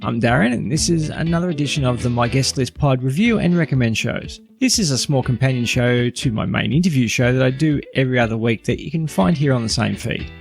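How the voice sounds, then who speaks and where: A man's voice: mid-range voice, lively intonation, fairly quick, gravelly, few pauses, soft background music. A man narrating a scripted review as a podcast host.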